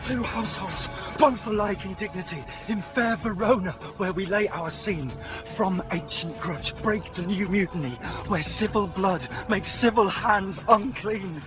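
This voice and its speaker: Solemn tragedy chorus, declaimed verse, dull old radio sound with music. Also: male voice, high voice. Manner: measured, declamatory. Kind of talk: 1950s radio Shakespeare acting, verse recitation.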